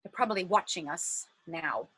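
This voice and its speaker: A woman's voice: on the low side, lively intonation, normal speed, a bit bright, slightly rough, low-quality recording. An actress playing an anxious but determined woman on the run in a stage drama, speaking in a theatrical, insistent way. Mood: nervous, resolute. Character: anxious but determined woman fleeing a flooded underground shelter. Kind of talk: dramatic stage-play dialogue.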